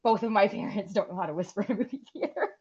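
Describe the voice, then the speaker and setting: A woman's voice: mid-range voice, expressive, very fast, a little mellow, slightly rough, highs cut off. A woman in her forties, co-hosting a podcast and chatting casually, rambling and swearing freely. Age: 40s. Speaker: podcast co-host. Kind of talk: casual chat.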